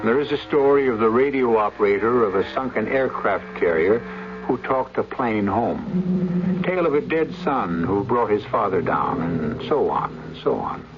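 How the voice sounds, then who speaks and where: A man hosting a radio suspense anthology, narrating in a measured, theatrical, ominous manner. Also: on the low side, expressive, background noise. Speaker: radio drama host and narrator. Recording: muffled radio broadcast with music behind. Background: music.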